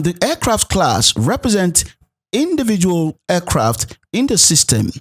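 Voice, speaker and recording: A man narrating a programming tutorial as an instructor, explaining things step by step. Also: a little high. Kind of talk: tutorial narration with code walkthrough.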